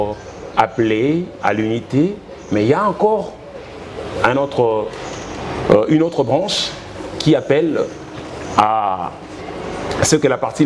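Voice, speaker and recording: A man hosting a political interview, asking probing questions and halting often with fillers. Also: mid-range voice, background noise. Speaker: interviewer host.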